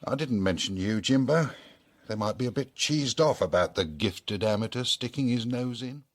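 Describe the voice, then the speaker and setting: A man's voice: mid-range voice, normal speed, natural voice. A man acting in a British radio drama as an informal, matter-of-fact police contact.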